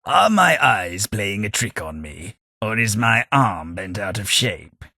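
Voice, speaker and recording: Raspy, haughty old British ghoul. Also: male voice, on the low side, sing-song, takes it slowly, pushed and bright, no room sound, exaggerated voice. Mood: dry, courteous. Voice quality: raspy, gravelly. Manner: pompous, formal, wry. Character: haughty, dry-witted old aristocratic male ghoul. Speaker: video game companion character. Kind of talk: scripted video game voice acting.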